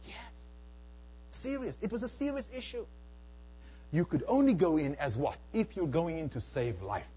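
A middle-aged man from South Africa giving an emphatic church talk, swinging between hushed and loud. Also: on the high side, expressive, normal speed, breathy edge. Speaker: speaker at a Bible Q and A. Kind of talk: lecture-style church talk.

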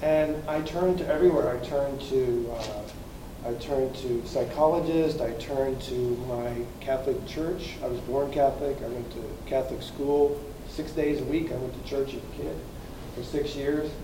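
A man's voice: mid-range voice, normal speed, noisy background. An American man in his fifties giving an earnest personal testimony as a guest speaker in a church hall. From United States. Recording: echoey room, from far off.

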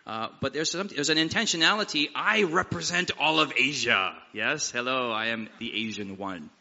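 A man who is a pastor, hosting a live seminar panel in a relaxed, joking, conversational way. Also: mid-range voice, moderate pace. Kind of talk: opening prayer then panel introductions. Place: seminar hall with live audience. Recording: dull sound with long hall reverb.

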